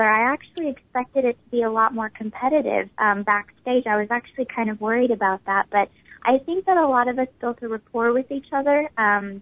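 A woman's voice: fairly high pitch, no room sound. Tiny-voiced young woman on a phone line. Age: 20s. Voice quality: small, tiny voice. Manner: polite, upbeat, many ums. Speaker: pageant winner guest. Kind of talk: phone interview.

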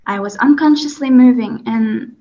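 A woman's voice: on the high side, mellow, lo-fi sound. A woman in her twenties from Kazakhstan, a guest telling a personal story earnestly, with hesitations and fillers. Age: 20s. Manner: earnest, halting, with frequent fillers.

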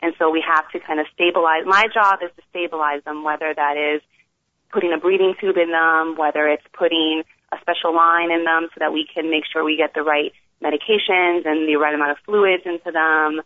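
A woman's voice: deep voice, fairly even intonation, fairly quick, no room sound. A young woman from the Bronx, an emergency room doctor, explaining her work as a guest on a talk show. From the Bronx, New York. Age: young adult. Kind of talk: phone interview. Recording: tinny phone line, dry.

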